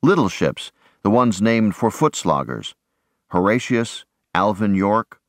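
A man giving measured, reflective first-person narration of an audiobook. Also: fairly low pitch, lively intonation, dry recording, studio quality.

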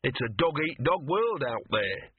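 A man's voice: medium pitch, sing-song, unhurried, slightly rough, heavily acted. A man voicing a cynical, streetwise talking dog in a storybook, grumbling and colloquial. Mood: scornful, opinionated. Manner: grumbling, colloquial, world-weary. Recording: muffled radio-like narrow band, roomy.

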